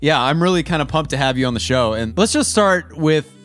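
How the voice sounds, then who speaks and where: Upbeat higher-voiced male podcast host. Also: animated, brisk, a bit bright, faint music behind. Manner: upbeat, chatty, uses slang like bro and dude. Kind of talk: casual podcast interview.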